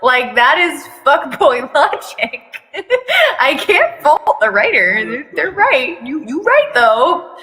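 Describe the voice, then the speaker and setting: High, bright-voiced woman in an echoing space. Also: sing-song, slow pace. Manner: irreverent, foul-mouthed, breaks off to comment. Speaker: reader of fan fiction. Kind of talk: dramatic reading with joking commentary. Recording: long echoing room reverb.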